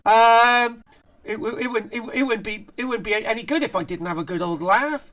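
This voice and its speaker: Male, middle-aged, South Wales accent, online lecture, chatty, jokey, halting, frequent asides. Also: high-pitched, fairly bright tone. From Barry, south Wales. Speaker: archaeology lecturer. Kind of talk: informal online lecture, reading aloud and commenting. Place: indoor room, on a group video call.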